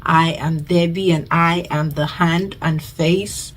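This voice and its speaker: A woman's voice: low voice, steady tone, slow pace. A Nigerian woman in her twenties talks casually to her camera as a budgeting vlogger, chatty and thankful, often repeating herself. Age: 20s.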